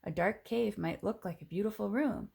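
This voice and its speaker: A woman reading a children's picture book aloud in an expressive, clearly phrased way, with casual asides. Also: medium pitch, normal speed.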